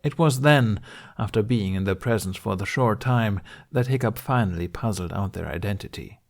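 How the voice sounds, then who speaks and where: Low-voiced man narrating an audiobook. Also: moderate pace, creaky. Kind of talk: scripted story narration.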